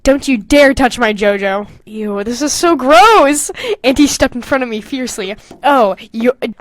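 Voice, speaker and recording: A woman's voice: a little high, moderate pace. A woman podcast host reading her own fanfiction aloud, breaking off into candid, self-mocking, casual asides with plenty of swearing.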